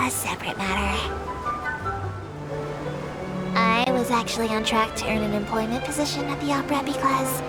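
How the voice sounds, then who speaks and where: A woman's voice: fairly high pitch, lightly acted. A woman voicing a small, polite creature tour guide in a video game, speaking formally and precisely with dry matter-of-fact asides. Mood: composed, dryly candid. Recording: loud music under the voice. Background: music.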